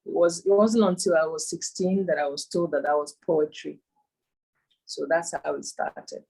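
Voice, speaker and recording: Nigerian woman with a lower voice, reminiscing. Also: steady tone, normal speed, gravelly, a little mellow, breathy edge, rough recording. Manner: thoughtful, searching for words with frequent ums. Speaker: interviewee, performance poet and author. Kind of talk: remote interview, casual reminiscing. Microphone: video call.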